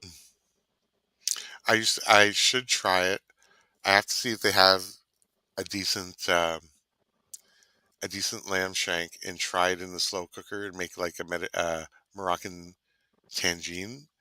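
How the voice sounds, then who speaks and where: A man's voice: deep voice, little pitch movement, a little slow, long pauses, sharp tone, no room sound, low-quality recording. A man from Canada co-hosting a casual online cooking chat, rambling and savoring his words. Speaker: co-host.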